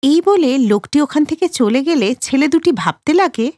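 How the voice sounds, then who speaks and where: High-voiced woman telling a cartoon tale. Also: animated, fairly quick, a little mellow, no room sound, clean studio sound. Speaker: storyteller. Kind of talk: scripted children's cartoon narration.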